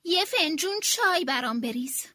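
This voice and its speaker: Bossy queen bee with a shrill, lively voice, rude and demanding; a woman performs her. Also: no echo, heavily acted. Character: spoiled bossy queen bee, female, quick-tempered.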